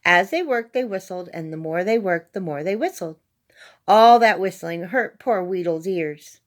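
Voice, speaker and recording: A woman's voice: lowish voice, moderate pace. A woman reading a children's story aloud as narrator, expressively and with dramatic emphasis on key words. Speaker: storybook reader.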